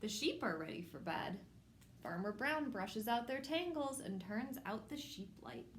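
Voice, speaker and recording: A woman hosting a children's storytime, reading a picture book aloud in simple, measured phrases addressed to young children. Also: on the high side, expressive, slight background noise. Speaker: children's storytime host at a public library.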